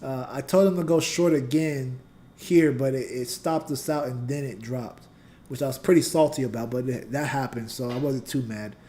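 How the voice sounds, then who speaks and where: A man's voice: mid-range voice, moderate pace, dark tone. A man hosting a trading channel, walking viewers through price charts in a loose, conversational way and talking to the chat, his voice going a little scratchy. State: voice getting scratchy. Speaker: trading channel host and teacher. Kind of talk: casual live chart analysis.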